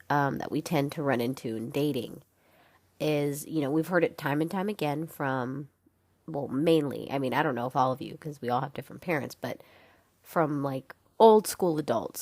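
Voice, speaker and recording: A woman's voice: low-pitched, a little mellow, dry recording. A young woman hosting a solo podcast, talking in a frank, chatty way with lots of fillers and some swearing, and sounding congested. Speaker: podcast host. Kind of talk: casual solo podcast talk.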